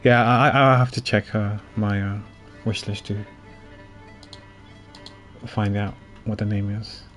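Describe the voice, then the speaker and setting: A man chatting casually on a game livestream, halting and thinking aloud as he goes. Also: lowish voice, fairly even intonation, fairly bright tone, faint music behind. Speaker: game streamer. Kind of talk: casual livestream chat while playing. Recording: faint noise, keyboard typing.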